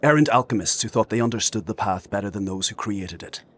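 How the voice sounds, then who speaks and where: Ancient imperious spirit mentor, deepish husky voice, talks down to you all formal, dark fantasy drama. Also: male voice, animated, quick pace. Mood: stern, condescending. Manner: formal, commanding, condescending.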